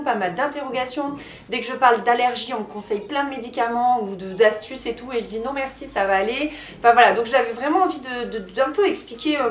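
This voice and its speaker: A woman's voice: on the high side, reverberant. A woman in her thirties, a vlogger, talking casually to camera in a house under renovation, with lots of fillers and restarts. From France. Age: 30s. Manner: chatty, frequent fillers and self-corrections. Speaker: lifestyle vlogger.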